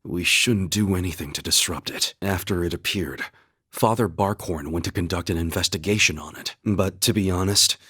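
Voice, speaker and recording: An actor playing an earnest, steadfast young warrior-knight in a video game, speaking in a solemn, measured, formal manner. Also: male voice, sits low, pushed and bright, slightly rough, dry recording, clean studio sound, full character voice. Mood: grave, explanatory. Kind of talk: dramatic English video game voice acting.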